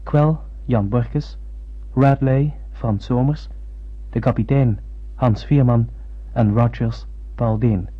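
A man announcing formally on the radio, reading credits in a measured way with a husky voice. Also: medium pitch. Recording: dull, muffled old radio recording.

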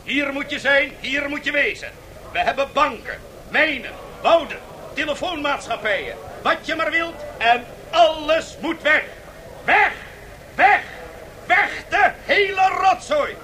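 Shrill, brash auctioneer. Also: male voice, animated, a little slow, heavily acted. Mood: brusque, hawking. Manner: loud, theatrical market-barker patter. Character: brash, mocking male auctioneer hawking a bankrupt empire. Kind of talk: radio play acting. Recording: faintly noisy 1970s radio drama tape.

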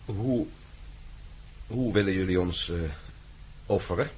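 Wry captive, lowish voice. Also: male voice, takes it slowly, darkish tone, husky, heavily acted. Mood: uneasy. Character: wry, uneasy male fellow captive. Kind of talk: radio play acting. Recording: noisy radio play recording.

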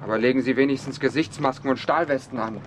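Man as hard-nosed lieutenant, talks fast, official, old radio play w/ faint noise. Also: medium pitch, natural voice. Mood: businesslike. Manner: brisk, official. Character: brisk, dutiful, hard-nosed male police lieutenant. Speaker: actor. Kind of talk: radio drama acting.

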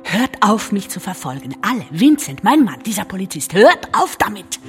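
Casting: bitter, resigned woman in a radio-play confession, deep female voice, intimate monologue over music. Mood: desperate, pleading. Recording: music underneath the voice.

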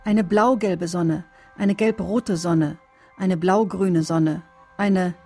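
A woman reciting short lines of poetry in a deliberate style for a radio drama. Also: lowish voice, fairly even intonation, darkish tone, soft background music.

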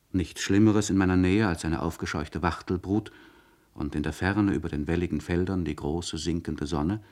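An actor playing an anguished, articulate nobleman in a literary radio play monologue, speaking in a solemn, searching manner with deliberate phrasing and elevated diction. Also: sits low, darkish tone. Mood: haunted. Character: anguished, articulate nobleman confiding by letter to a friend.

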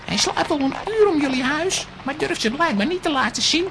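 A woman's voice: high-pitched, expressive, fairly quick, noisy background. A woman playing a cocky, money-minded boy with a brash, mocking manner in a children's audio drama. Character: cocky money-minded neighbour boy, street-smart and cheeky.

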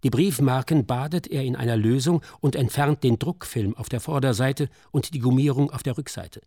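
A man's voice: mid-range voice, moderate pace, mellow, slightly rough, no room sound. Sober male narrator, very dry sound. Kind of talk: scripted documentary radio drama narration. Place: dry studio.

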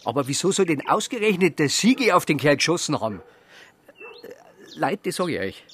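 A man's voice: fairly high pitch, very animated, a little fast, a bit bright, slight background noise. An actor playing a blunt, sceptical patrol policeman in a radio drama, with a Bavarian accent. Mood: incredulous. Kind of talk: naturalistic radio drama dialogue.

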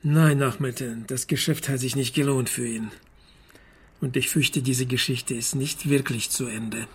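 A man's voice: medium pitch, steady tone, unhurried, slight background noise, close to an everyday voice. An actor playing a wry, evasive private detective in a radio drama, casual and dry, always talking his way around things. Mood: feigned innocence. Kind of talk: modern radio drama acting.

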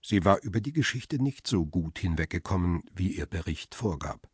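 A middle-aged crime-drama narrator with a very low, heavily husky voice. He is reflective and restrained, telling his own story as if thinking it over. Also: animated, moderate pace, a little mellow, no room sound, clean studio sound, heavily acted. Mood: pensive. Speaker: narrator and lead character. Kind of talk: radio drama narration and dialogue.

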